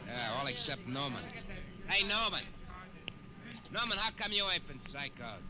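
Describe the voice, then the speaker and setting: Streetwise soldier, gossipy, higher-pitched, noisy vintage radio drama. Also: male voice, very animated, moderate pace. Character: gossipy, slangy rank-and-file soldier. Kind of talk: old-time radio drama acting. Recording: old radio recording, noisy.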